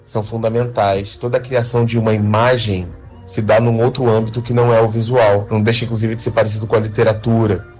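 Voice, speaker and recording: Brazilian guy kinda low voice explaining stuff in an interview, halting, light music under. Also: level tone, darkish tone. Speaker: interviewed radio drama creator.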